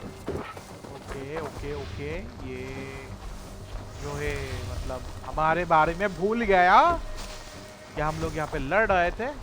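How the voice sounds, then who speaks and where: A man giving casual, slangy and excitable gameplay commentary. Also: fairly high pitch, racing, pauses often. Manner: excitable, slangy, reacting to play. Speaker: gaming streamer. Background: game music and sounds.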